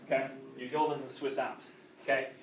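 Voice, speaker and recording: A man's voice: medium pitch, level tone, fast talker, a little hiss or hum. A male math teacher lecturing a class, often checking in with "okay?". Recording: roomy classroom audio from a distant camera.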